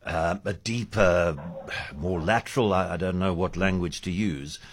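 A male interviewer asking long, halting questions and rephrasing them as he goes. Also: sits low, steady tone, background noise.

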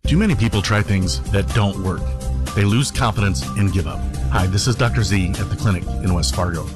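Deep-ish doc guy doing his own radio ad, music underneath. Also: a little fast. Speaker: clinic doctor in his own ad. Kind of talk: scripted commercial read.

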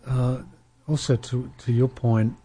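A man hosting a conversational interview, his voice mellow and a little husky. He speaks hesitantly, repeating words and restarting his phrases as he thinks. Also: medium pitch, flat delivery, slow pace.

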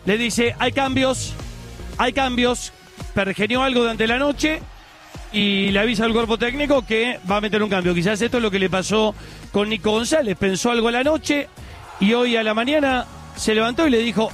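A man with an Argentine accent hosting a radio sports show, talking fast and excitedly in informal chat. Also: extremely high pitch, faint music behind. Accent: Argentine Spanish.

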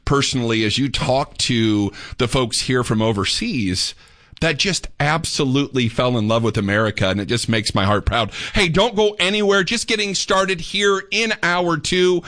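A man's voice: medium pitch, a bit bright. A man in his thirties hosting a talk radio show, chatting with his guest and teasing the break. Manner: friendly, quick interjections.

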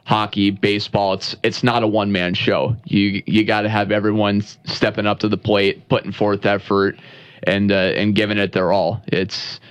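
A man working as a play-by-play broadcaster, speaking in a casual radio interview. He talks in a halting way, repeats phrases and laughs easily. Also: fairly low pitch, level tone, clean tone, no room sound. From Upper Midwest, United States. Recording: dull lo-fi radio studio audio.

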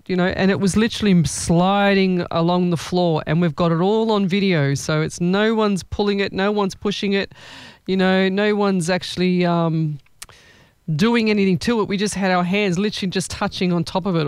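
A man, a psychic medium hosting a live stream, chatting in a rambling, excitable way. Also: high voice, moderate pace, smooth, no room sound. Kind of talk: live-stream reading chat.

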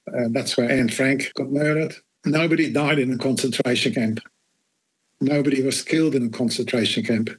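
A man in his eighties from the Netherlands with an Australian accent, recounting his life in an interview in a measured, blunt way. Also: medium pitch, breathy edge, poor audio. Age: 80s. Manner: measured and blunt, stresses words with firm repetition. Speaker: interviewee, Holocaust survivor. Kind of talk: personal testimony in an interview.

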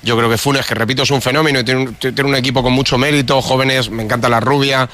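Fast, bright-voiced man arguing on Spanish radio. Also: mid-range voice, fairly even intonation. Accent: Spain Spanish. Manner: argumentative, insistent, interrupting. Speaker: sports panelist. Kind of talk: lively radio football debate.

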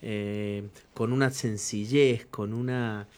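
A man with an Argentine accent, a guest musician, talking humbly with many pauses and fillers. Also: lowish voice, steady tone, a little mellow, smooth. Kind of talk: casual chat.

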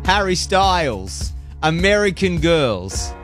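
Male radio host, chatty and teasing, slightly high voice over faint music. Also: very animated, slow. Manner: chatty, playful, teasing co-hosts. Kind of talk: evening radio show banter.

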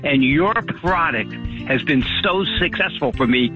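A mature man with a fairly high voice gives a customer testimonial. It sounds like a phone call, with music underneath. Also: expressive, normal speed. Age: middle-aged or older. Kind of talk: unscripted spoken testimonial. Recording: thin phone-like line.

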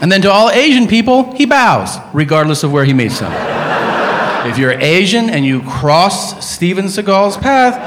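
A man's voice: sits high, very animated, moderate pace, background noise. A man doing live stand-up comedy, telling stories in an incredulous, emphatic, casually profane way.

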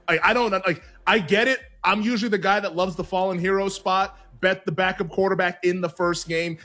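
A man hosting a sports betting show, talking quickly with lots of fillers and frequent laughs. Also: sits high. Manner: quick, excitable, heavy on "like", laughs often. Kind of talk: casual sports betting chat.

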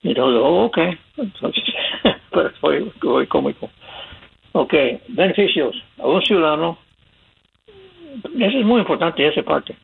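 Raspy older man on a tinny line. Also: a little high, animated, a little slow, pauses often. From California, USA. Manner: plain-spoken, laughs while telling stories. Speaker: immigration advice guest. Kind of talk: casual radio advice talk. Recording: tinny phone-line sound.

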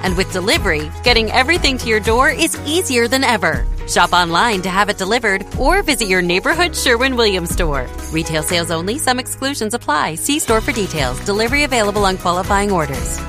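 A woman's voice: medium pitch, big pitch swings, a little fast, hardly any pauses, over music. A woman announcing a radio commercial in an upbeat, scripted promotional read.

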